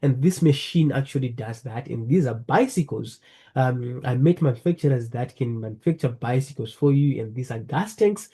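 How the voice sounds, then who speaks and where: A man from Zimbabwe presenting a talk over slides, earnest, repeating phrases and pausing with fillers. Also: medium pitch, dark tone, husky. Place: lives in the UK.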